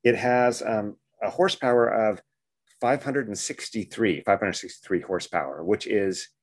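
Low-voiced man, halting podcast talk. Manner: enthusiastic, halting with many fillers. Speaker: podcast co-host. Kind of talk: casual podcast discussion.

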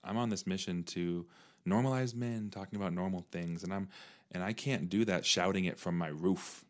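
A young man hosting a casual podcast conversation, earnest and relaxed, saying "dude" and "like" a lot. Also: low voice, moderate pace. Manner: earnest, uses "dude" and "like" often. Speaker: podcast host.

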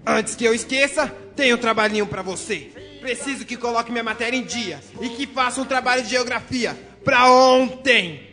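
Male actor as haughty rich schoolboy bully, high-pitched, bright forceful voice, commanding, radio drama, faint music. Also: moderate pace. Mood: bossy. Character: spoiled, bossy, cruel rich farmer's son, schoolboy bully.

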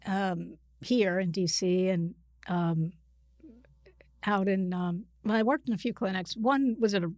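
A woman speaking as an expert guest in a conversational interview, explaining things haltingly and often correcting herself. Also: mid-range voice, darkish tone, no echo, studio quality.

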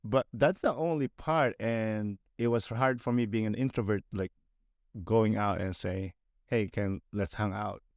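A man's voice: fairly low pitch, measured pace, no echo, clean studio sound. A young Filipino man with a Filipino accent, talking bluntly and animatedly on a casual podcast, swearing and mocking other people in put-on voices. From Philippines. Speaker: podcast guest.

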